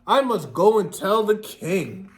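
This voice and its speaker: Anxious female hen for a storybook played by a man: high, animated, fretful, insistent. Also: slow pace, heavily acted. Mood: alarmed, insistent. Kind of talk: children's storybook read-aloud.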